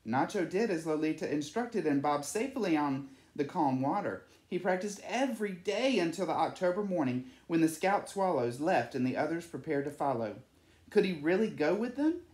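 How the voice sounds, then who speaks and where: A man's voice: on the high side, expressive, normal speed, darkish tone. Man reading a storybook, echoey room. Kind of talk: children's picture-book read-aloud.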